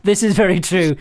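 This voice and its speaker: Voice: mid-range voice, a little mellow. A chatty producer who giggles and rambles while casually directing a voice actor on a livestream.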